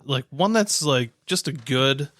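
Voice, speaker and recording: A man's voice: medium pitch, lively intonation, unhurried, a bit bright, dry recording, clean studio sound. A man co-hosting a podcast in casual chat, with a playful, teasing way of talking. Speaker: podcast co-host.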